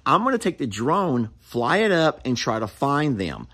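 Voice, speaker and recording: A man's voice: medium pitch, sing-song, normal speed, a bit bright, husky. A man, hosting a casual farm vlog outdoors and filming himself on a phone at arm's length. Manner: folksy, explains to viewers, hollers to his kids. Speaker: vlog host. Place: outdoors on a rural property. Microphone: phone camera at arm's length.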